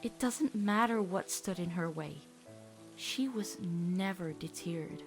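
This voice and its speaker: Lady playing a steadfast teen witch girl, gentle and measured, cartoon comic dub with music under it. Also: on the low side, voice pushed down. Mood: tender, admiring. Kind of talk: dramatic comic dub acting.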